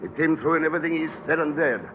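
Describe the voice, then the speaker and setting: An actor playing a calm, confident male secret agent, speaking in a measured way, 1940s radio-drama style. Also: fairly high pitch, lightly acted. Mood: composed. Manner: measured, unruffled. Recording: tinny old radio recording with faint hiss.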